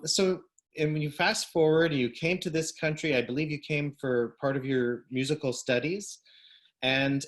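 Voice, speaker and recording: Conductor hosting an online interview. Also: male voice, mid-range voice. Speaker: host, music director and conductor.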